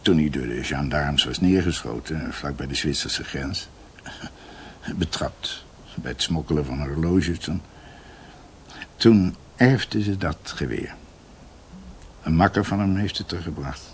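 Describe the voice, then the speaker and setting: An actor playing an older doctor in a radio drama, telling family history in a halting, ruminative, emphatic way. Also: male voice, a bass voice, a little hiss or hum. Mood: reflective. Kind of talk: radio drama acting, conversational storytelling.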